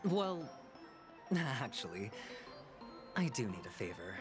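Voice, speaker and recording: A suave, wealthy schemer from a video game. He takes his time, swinging through lively pitch with theatrical, teasing wit. Music plays clearly behind him. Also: takes it slowly. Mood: smoothly polite. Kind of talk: scripted video game voice acting.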